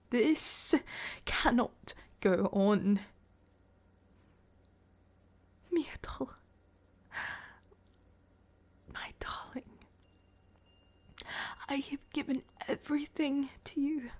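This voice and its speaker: A woman performs a proud royal wife wronged by her husband, in a fantasy audio drama. Her voice is fairly high and very breathy, often sinking into a near-whisper. She delivers her accusations slowly and theatrically, indignant and heartbroken. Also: a little mellow, full character voice. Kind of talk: dramatic fantasy roleplay monologue.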